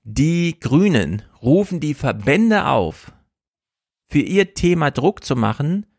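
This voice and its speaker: A man with a gravelly, creaky voice hosts a casual political livestream, opinionated and restless. The sound is dry and close, with no room around it. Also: on the high side, sing-song, slow, darkish tone, no echo. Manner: opinionated, repeats "grotesk", restless. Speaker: livestream host.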